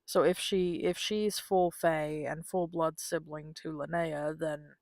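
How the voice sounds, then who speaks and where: Woman streaming a game, lowish gravelly voice, unhurried casual commentary, dry close sound. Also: fairly even intonation, a little mellow, dry recording. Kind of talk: casual live playthrough commentary.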